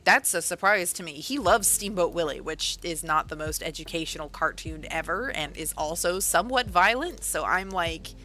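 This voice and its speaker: A woman's voice: on the low side, moderate pace, few pauses, pushed and bright, a little hiss or hum. A woman chatting casually on a livestream, rambling along with lots of fillers and correcting herself as she goes. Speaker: author and livestreamer.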